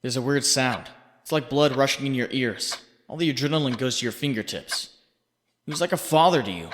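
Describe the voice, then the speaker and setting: A man's voice: medium pitch, expressive, brisk, fairly bright tone, slight background noise, lightly acted. Man as a brooding inner voice, long reverb. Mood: accusing. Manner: sardonic, intense, theatrical. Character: brooding, guilt-ridden young male inner voice, sardonic. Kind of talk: dramatic second-person narration.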